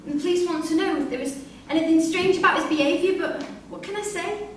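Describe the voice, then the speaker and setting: An actress playing a grieving, bewildered wife, fragile and plaintive, in a staged reading of a play. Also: female voice, very high-pitched, fast. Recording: distant mic in echoey room, noisy.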